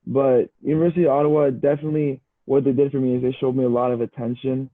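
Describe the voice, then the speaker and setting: A man's voice: medium pitch, fast talker, no echo. Young man on a tinny, dry call. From Canada. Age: young adult. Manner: relaxed, easygoing, says "bro" and "you know" a lot. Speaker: guest, university football player. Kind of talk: casual podcast chat. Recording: tinny phone-like line, dry.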